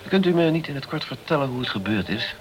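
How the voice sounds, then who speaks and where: A man's voice: medium pitch, expressive, fast talker, close to an everyday voice, rough recording. A man playing a businesslike English insurance investigator, terse, dry and inquisitive, in a radio play. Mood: probing, businesslike. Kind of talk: Dutch radio play acting.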